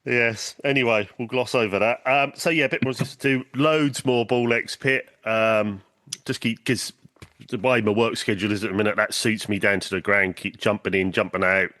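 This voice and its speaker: A man talks in a fairly low voice, his nose blocked up by a cold. He chats on a podcast in a halting way, full of ums and false starts, as he rambles on. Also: steady tone, bright, forceful tone. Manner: hesitant, many ums and restarts. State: stuffy with a cold. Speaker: podcast guest. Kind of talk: casual chat.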